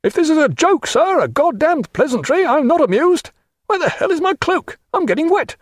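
A man's voice: very high voice, big pitch swings, moderate pace, dry recording, clean studio sound, voice pushed up. A man voicing an irate senior navy captain in an audiobook, blustering and indignant as he hails another ship. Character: irate old senior navy captain bellowing across the water. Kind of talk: audiobook character voice.

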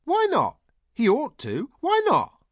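A man voicing an excitable, simple-minded, childlike woman with shrill exclamations and rustic phrasing in an audiobook. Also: moderate pace, no room sound. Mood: excited, insistent. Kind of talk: audiobook character voice.

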